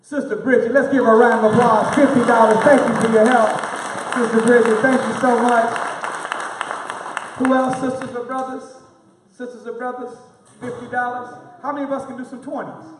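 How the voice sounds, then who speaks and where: A young man with a very high voice works the crowd in a big echoing hall, calling names and dollar amounts in a rhythmic, preacher-like drive. He speaks, heard from far off over a murmuring audience. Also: flat delivery, normal speed, noisy background. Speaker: minister leading a fundraising appeal. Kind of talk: fundraising call from the pulpit. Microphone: handheld microphone recorded from a far camera. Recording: echoey hall audio from far off.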